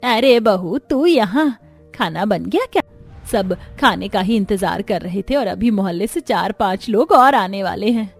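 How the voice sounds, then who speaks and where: A woman's voice: medium pitch, animated, a little slow, vocal fry, slightly rough, soft background music, exaggerated voice. Motherly, bossy mother-in-law. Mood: expectant. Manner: motherly, instructing. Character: caring, bossy middle-aged mother-in-law. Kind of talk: Hindi cartoon family-drama voice acting.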